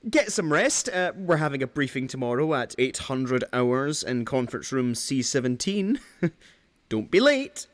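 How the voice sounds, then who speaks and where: A man playing a chipper, slightly awkward base guide in an audio drama, cheerful and unhurried. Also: mid-range voice, big pitch swings, dry recording.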